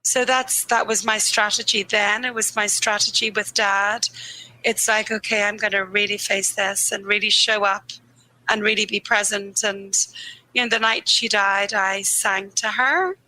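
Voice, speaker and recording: A woman grief therapist speaking earnestly and candidly in a podcast interview, a little croaky, slowing down on the points that matter to her. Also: medium pitch, steady tone, pushed and bright, rough recording. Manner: earnest, candid, laughs easily, slows on key phrases. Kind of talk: reflective conversational interview.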